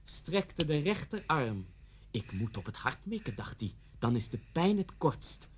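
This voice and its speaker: Menacing man out for revenge, wildly swinging pitch. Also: very animated, a little slow, muffled recording, slight background noise. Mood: dramatic relish. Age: 30s. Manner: taunting, theatrical, swinging from soft to explosive. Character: menacing, mocking, bitter former pupil seeking revenge on his teacher. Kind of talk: radio thriller acting.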